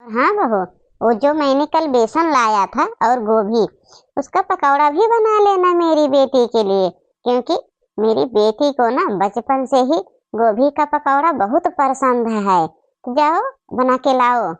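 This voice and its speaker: A woman's voice: high-pitched, expressive, measured pace, no room sound, highs cut off. A woman voicing a doting but bossy middle-aged mother and mother-in-law in a family comedy cartoon, fussing and giving orders. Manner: fussing, commanding.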